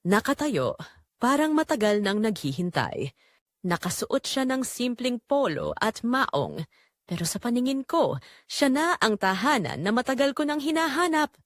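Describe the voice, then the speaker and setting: A woman with a Filipino accent narrates a dramatic first-person romance story in a measured, expressive way. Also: on the high side, no echo, studio quality.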